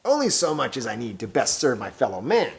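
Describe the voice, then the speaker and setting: A man's voice: medium pitch, huge pitch swings, brisk, creaky. A man playing a scheming bishop in disguise, smug and confident. His replies come terse and heartless.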